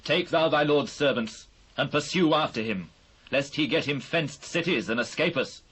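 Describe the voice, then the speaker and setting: Husky commanding British king. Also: on the high side, animated, normal speed, fairly bright tone, low-quality recording. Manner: formal, archaic, declarative. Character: commanding Israelite king, decisive and authoritative. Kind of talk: dramatised scripture acting.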